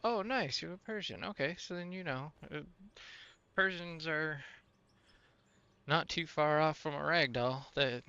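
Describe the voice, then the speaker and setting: A man's voice: fairly high pitch, measured pace, long pauses, pushed and bright, clear, resonant tone, no room sound, lo-fi sound. A man from Illinois with a Midwestern accent, chatting loosely with viewers while streaming a game. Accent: Midwestern American. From Illinois, USA. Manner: rambling, loose, filler-heavy talk to chat. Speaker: gaming streamer. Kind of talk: casual live-stream chat while playing.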